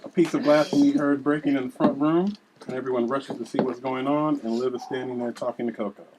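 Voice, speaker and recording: A woman's voice: a bass voice, fairly even intonation, fast, heavy background noise. A woman reading scripted scene narration and stage directions at a table read.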